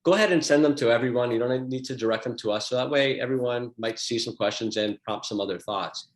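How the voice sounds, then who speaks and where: A man's voice: medium pitch, fast. A man hosting a webinar over a video call, politely explaining how to send questions and introducing the guest speaker. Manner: polite, instructive. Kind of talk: moderator announcements. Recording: echoey room on a call.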